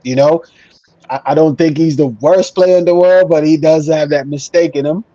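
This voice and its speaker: Emphatic man, higher-pitched mellow voice. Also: fairly even intonation, moderate pace, no echo, lo-fi sound. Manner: emphatic, repetitive, conversational. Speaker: football fan show panelist. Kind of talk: casual opinionated football fan discussion.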